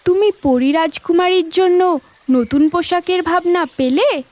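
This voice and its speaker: A woman's voice: very high-pitched, unhurried, darkish tone. A woman voicing a kindly, dignified nature goddess in a children's cartoon, gracious and guiding. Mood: kindly, encouraging.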